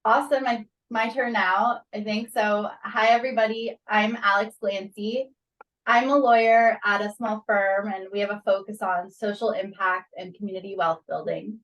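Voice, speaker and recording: Measured woman lawyer, off-mic. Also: mid-range voice, fairly even intonation, a bit bright, highs cut off. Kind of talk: prepared self-introduction. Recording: distant call audio.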